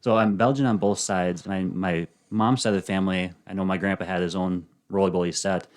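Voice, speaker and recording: Soft-spoken low-voiced man with ums. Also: fairly even intonation, brisk. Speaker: podcast guest. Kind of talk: casual interview chat.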